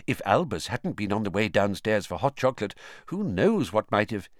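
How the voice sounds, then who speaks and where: A man voicing a brisk, stern older woman professor speaking gravely. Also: on the low side, sing-song, no echo, studio quality.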